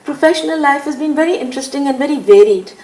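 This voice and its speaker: Older woman from india, slightly high voice, chatty, laughs a lot, echoey room. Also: lively intonation, fast talker, a little mellow. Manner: chatty, laughs often, frequent fillers. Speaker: interviewee, businesswoman. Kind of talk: relaxed conversational interview.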